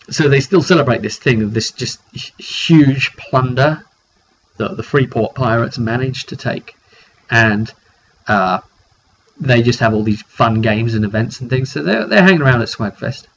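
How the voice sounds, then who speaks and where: Male game master, mid-pitched, casual off-the-cuff storyteller with halting delivery; muffled home-grade recording. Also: fairly bright tone. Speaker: game master recounting a tabletop session. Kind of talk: casual, improvised storytelling of a fantasy roleplay game. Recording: dull lo-fi audio with faint noise.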